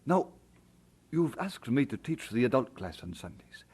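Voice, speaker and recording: An actor playing an earnest, warm pastor and husband in a radio drama. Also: male voice, medium pitch, very animated, unhurried, breathy edge, heavily acted. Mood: earnest, concerned.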